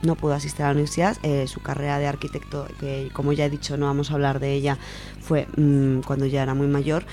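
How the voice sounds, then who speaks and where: A woman's voice: low voice, little pitch movement, quick pace, mellow, faint music behind. A woman from Spain presenting on radio, giving an informal, semi-prepared account in an explanatory way, restating points as she goes.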